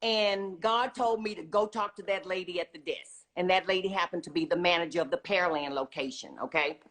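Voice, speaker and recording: A woman's voice: on the low side, fairly quick, fairly bright tone. A middle-aged woman talking casually and enthusiastically as an interview guest, emphatic and often checking in with "okay?". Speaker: guest, children's book author and retired teacher.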